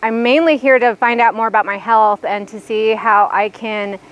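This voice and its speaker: A woman's voice: fairly high pitch, fairly even intonation, moderate pace, muffled recording. A young adult woman speaking eagerly and in a friendly way, outdoors on a lapel mic. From Pennsylvania. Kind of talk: testimonial interview. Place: outdoors on a wooded lawn.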